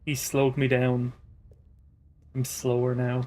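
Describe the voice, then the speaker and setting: A man chatting casually as he streams a game, in a low-key, hesitant and considerate way. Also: completely flat delivery, slow pace, a little mellow, a little hiss or hum. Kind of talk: casual live game playthrough chat.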